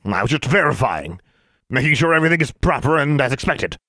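A man's voice: mid-range voice, sing-song, pushed and bright, dry recording, clean studio sound, voice pushed up, heavily acted. Audiobook role: stuffy official, secretly a girl in disguise, queasy and pompous, voice pushed up well above natural male narrator acting. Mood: officious bluffing. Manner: formal, pompous, deliberate. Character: disguised girl bluffing as stuffy, unwell official Mister Brown. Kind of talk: audiobook character voice.

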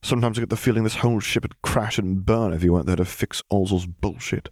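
A man's voice: lowish voice, quick pace, a little mellow, no room sound, natural voice. A man voicing a wry middle-aged general in an audiobook, dry and sardonic, always ready to snipe. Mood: dry, sniping.

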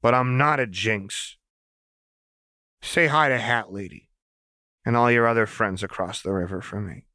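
A man's voice: fairly low pitch, a little slow, pauses often, sharp tone, no echo, clean studio sound. A man voicing a manic, teasing young female troublemaker in an audiobook, with a playful, taunting delivery. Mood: cold, goading. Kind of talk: audiobook character dialogue.

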